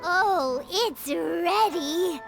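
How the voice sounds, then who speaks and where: A woman voicing a tiny, childlike, excitable fairy companion in a video game, squeaky and bubbly. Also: extremely slow, creaky, full character voice. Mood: excited. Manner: bubbly, speaks of self in third person. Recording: music playing behind the voice.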